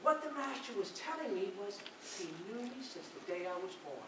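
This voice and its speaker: A man playing an earnest, devout fisherman disciple in a stage monologue, declaiming his story in a measured way. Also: high-pitched, lively intonation. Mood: reverent. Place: large reverberant indoor hall, recorded from afar. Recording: very echoey hall, distant mic, noisy.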